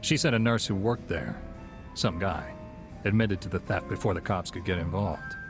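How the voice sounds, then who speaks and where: A shrewd bartender in a game cutscene, with a low voice and a measured, composed delivery. He is dry and discreet, and music plays clearly behind him. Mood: measured, knowing. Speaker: video game character. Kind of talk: dramatic game cutscene acting.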